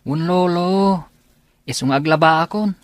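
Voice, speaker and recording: A young Filipino man, played by an actor as a dutiful grandson, earnest and respectful in a radio drama. His voice is fairly high and recorded dry. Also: measured pace, dry recording, close to an everyday voice.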